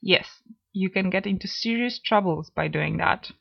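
A woman co-hosting a scripted beginner language lesson podcast, speaking in a clear, measured, instructive way on a slightly dull lo-fi recording. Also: mid-range voice. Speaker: language teacher, podcast co-host.

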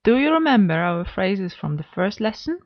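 A woman co-hosting a scripted beginner language lesson podcast, speaking in a clear, measured, instructive way on a slightly dull lo-fi recording. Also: mid-range voice, very animated. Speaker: language teacher, podcast co-host.

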